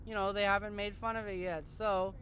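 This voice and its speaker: A man's voice: sits high, flat delivery, fairly quick, bright, forceful tone. A young man hosting a casual podcast from a moving car, rambling and joking with plenty of swearing. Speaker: podcast host. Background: faint road noise.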